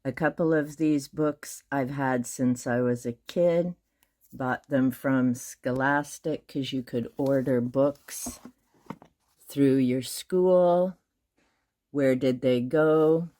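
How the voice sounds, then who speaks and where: A middle-aged woman whose first language is Hungarian talks through her book collection in a low, mellow voice. She moves at an unhurried pace, counting and listing titles methodically. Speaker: booktube host. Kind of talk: casual shelf tour.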